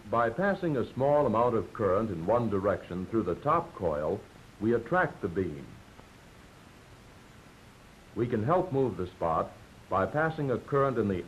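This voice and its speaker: An American man narrating a 1940s instructional film from a script, explaining in a measured, didactic way. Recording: dull, noisy 1940s film soundtrack with rumble.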